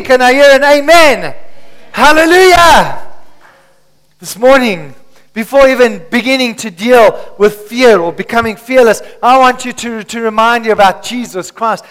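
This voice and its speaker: A man's voice: very high voice, a bit bright. A male pastor preaching a rousing sermon in a large church, calling the congregation to answer back. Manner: rousing, call-and-response preaching. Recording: long church hall reverb.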